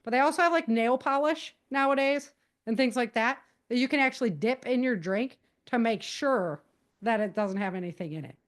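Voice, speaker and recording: Female interview guest, slightly high voice, chatty and animated explainer. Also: moderate pace. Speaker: guest author giving safety advice. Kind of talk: casual, conversational podcast interview.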